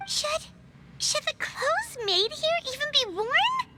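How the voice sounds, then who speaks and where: An actress voicing a tiny, excitable female floating companion who talks about herself in the third person. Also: extremely high pitch, takes it slowly, heavy background noise, full character voice. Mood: indignant. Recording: game audio with music behind.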